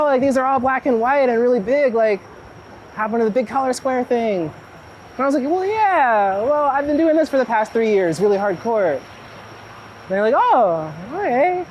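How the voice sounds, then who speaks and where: A man talking casually and reflectively as a street photographer while out walking in a city, often breaking off mid-thought. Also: very high voice. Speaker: street photographer being interviewed. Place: outdoors on a busy city street. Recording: outdoor city street noise, traffic.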